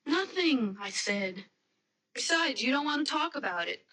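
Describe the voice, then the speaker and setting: Radio mystery fiancée, young American: bright, high, animated voice, stubborn yet anxious, quick to protest. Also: female voice, full character voice. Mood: stubborn, irritated. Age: young adult. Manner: hesitant, protesting, emotional. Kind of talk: radio mystery drama acting.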